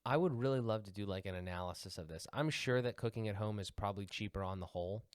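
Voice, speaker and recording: A man's voice: on the low side, fast, clear, resonant tone, dry recording, clean studio sound. A young man chatting casually as a podcast co-host, reasoning aloud and restarting his points as he argues them. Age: young adult. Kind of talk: casual chat.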